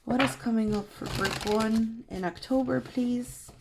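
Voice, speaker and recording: A young woman reading tarot cards for her viewers, her voice worn and hoarse from being unwell, talking in a soft, meandering way with many pauses. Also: on the high side, fairly even intonation, noisy background. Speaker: tarot reader. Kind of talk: pick-a-card tarot reading.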